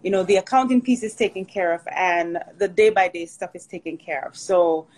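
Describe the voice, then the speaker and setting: A woman from Jamaica, chatting as a podcast guest in an upbeat way and often pausing to find her words. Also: on the low side, a little mellow, rough recording. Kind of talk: casual interview chat.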